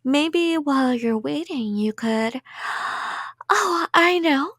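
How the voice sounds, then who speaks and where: A woman's voice: high-pitched, expressive, slow, a bit bright, no room sound, clean studio sound, heavily acted. A woman playing an obsessed young yandere kidnapper in an intimate ASMR roleplay, rambling coyly and sweetly with menace. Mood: musing, sweet. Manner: rambling, giggly, coy, threatening in a sweet tone.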